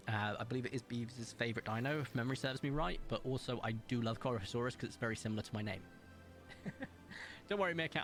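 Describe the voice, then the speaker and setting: A man streaming a game, chatting casually in a calm, smooth voice as he thinks aloud and rambles with fillers. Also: mid-range voice. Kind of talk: casual gaming livestream chat.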